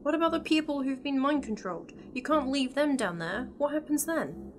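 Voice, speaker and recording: A woman playing a high-voiced, loyal young companion. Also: lively intonation, normal speed, a little hiss or hum. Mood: worried. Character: young female companion, loyal, worried and questioning. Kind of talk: fan audio drama acting.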